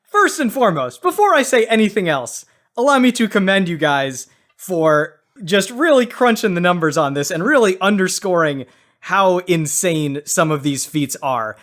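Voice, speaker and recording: A man's voice: sits high, big pitch swings, moderate pace, fairly bright tone. A man giving casual, long-winded explanations as the judge on a comedy debate podcast.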